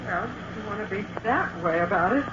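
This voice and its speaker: A woman playing a plucky, excitable adventure sidekick in an old radio drama, breezy and slangy. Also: medium pitch, fairly quick, lightly acted. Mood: alarmed. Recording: muffled, noisy old radio recording.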